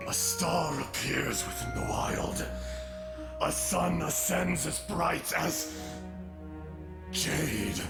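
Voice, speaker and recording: A proud, ancient elemental dragon for a fantasy game, pitched high for a male and thick with gravel. The delivery is grandiose and defiant, swinging dramatically up and down in a reverberant space under loud music. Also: animated, drawn-out words, pauses often, exaggerated voice. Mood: bewildered.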